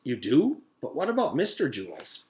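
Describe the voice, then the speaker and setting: A man's voice: medium pitch, huge pitch swings, normal speed, voice pushed up. A man voicing an earnest, helpful schoolboy who is easily flustered, in a children's storybook read-aloud. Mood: earnest, sorry. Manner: eager, indignant, easily flustered.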